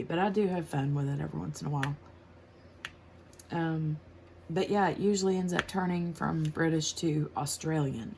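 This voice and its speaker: A woman in her forties with an American accent, unhurried and chatty as she answers questions while crafting at home. Her voice sits a little low and has a gravelly edge, and she laughs easily between thoughts. A faint hum of room noise lies under the recording. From United States. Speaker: craft channel host. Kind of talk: casual chat while crafting.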